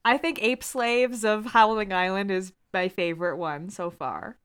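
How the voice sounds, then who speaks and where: A woman's voice: mid-range voice, unhurried, fairly bright tone, clear, resonant tone. A woman co-hosting a podcast in casual banter, with a playfully argumentative way of talking. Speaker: podcast co-host.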